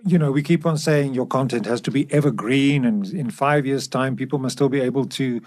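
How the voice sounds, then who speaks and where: A man's voice: mid-range voice, a little fast, darkish tone. Conversational man hosting. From South Africa. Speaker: podcast host. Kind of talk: conversational podcast discussion.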